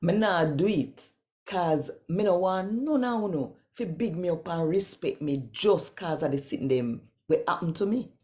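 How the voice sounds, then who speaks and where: A woman's voice: fairly low pitch, lively intonation, normal speed, warm tone. A woman with a Jamaican accent hosting and reading scripture devotionally, speaking conversationally and straight to the listener. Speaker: host and scripture reader.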